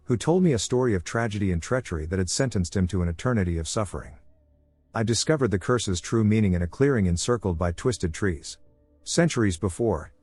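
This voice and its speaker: A man with a fairly low voice narrates horror stories in a steady, measured read. The sound is dry and close, with no room around it. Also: slightly rough, dry recording. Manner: steady, measured storytelling delivery.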